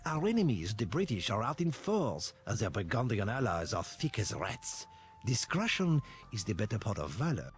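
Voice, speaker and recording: An actor playing an earnest, wary French soldier and loyal ally, speaking in a theatrical, declamatory way for a video game. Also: male voice, fairly low pitch, big pitch swings, normal speed, slight background noise. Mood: cautioning.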